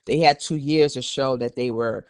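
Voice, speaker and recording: A man's voice: medium pitch, normal speed, smooth, no room sound, clean studio sound. A man with an American accent hosting a podcast, giving opinionated conversational commentary in an emphatic, admonishing way and repeating phrases for stress. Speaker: podcast host.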